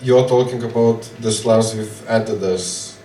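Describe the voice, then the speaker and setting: A man's voice: on the low side, steady tone, a little slow, dark tone. A man, a comedian guest in casual conversation, dry and teasing, speaking broken English. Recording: roomy, distant mic, faint background noise.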